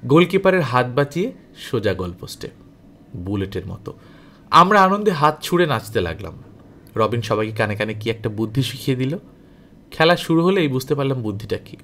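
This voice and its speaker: A man reading a novel aloud as an audiobook narrator, in an expressive, dramatic storytelling style. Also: medium pitch, brisk.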